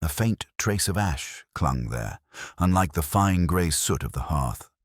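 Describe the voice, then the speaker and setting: A male narrator with an extremely low voice reads a period detective tale. His delivery is formal and measured yet expressive in pitch, and the recording is dry and close. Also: no room sound. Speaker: audiobook narrator.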